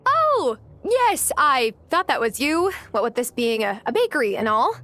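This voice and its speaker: A woman's voice: high voice, very animated, normal speed, a bit bright. An actress playing a friendly young woman new in town, hesitant and earnest, in a video game. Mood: friendly, unsure. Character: friendly young woman newly moved to a rural island.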